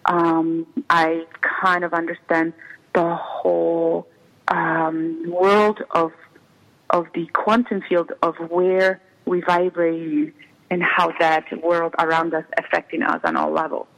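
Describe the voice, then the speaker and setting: A woman's voice: lowish voice, level tone, gravelly, fairly bright tone, low-quality recording. Middle-aged woman with a Czech accent, earnest. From Czech Republic. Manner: earnest, halting, repeats phrases for emphasis. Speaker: interview guest, intuition healer. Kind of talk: conversational interview.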